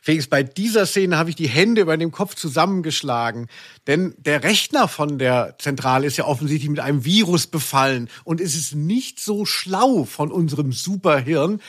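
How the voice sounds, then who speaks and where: A male podcast host in his forties with a slightly high voice. He tells anecdotes casually, rambling along with self-deprecating humour. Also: husky. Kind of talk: casual humorous anecdotal chat.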